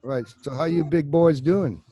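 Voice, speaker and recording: A man in his fifties with a low voice, a guest actor chatting casually, rambling and joking with plenty of swearing, on a video call with a desk mic at home. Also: a little slow, mellow, breathy edge, no room sound, rough recording. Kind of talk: casual interview chat. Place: home room. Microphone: desk microphone on video call.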